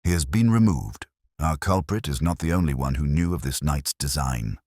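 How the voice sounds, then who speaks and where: A man voicing a brilliant, grave detective in an audiobook, speaking deliberately and precisely. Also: rumbling low, darkish tone, no echo, clean studio sound. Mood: grave, intent. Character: brilliant, grave male detective, coolly analytical. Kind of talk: audiobook character dialogue.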